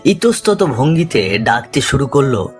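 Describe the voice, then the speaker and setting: A man's voice: mid-range voice, sing-song, measured pace. A man narrating a scripted ghost story in a dramatic storytelling style. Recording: music behind the voice. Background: music.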